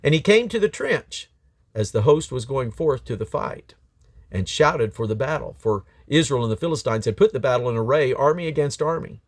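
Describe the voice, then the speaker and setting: A man with a medium-pitched voice reads scripture aloud in a close, dry recording. He declaims the old-fashioned verse in short phrases separated by pauses, now and then tripping slightly over the wording. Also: animated, no room sound. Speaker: Bible reader. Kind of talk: scripture read aloud from the King James Bible.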